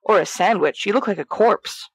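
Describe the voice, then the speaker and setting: A woman voicing a brash, excitable fourteen-year-old schoolboy in an audio fiction reading, teasing and bossy in manner. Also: medium pitch, moderate pace, slightly rough, no echo, natural voice.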